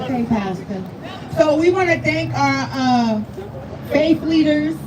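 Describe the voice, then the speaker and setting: A woman community organizer giving an emphatic, rousing speech at an outdoor rally. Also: fairly high pitch. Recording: distant mic with loud street noise.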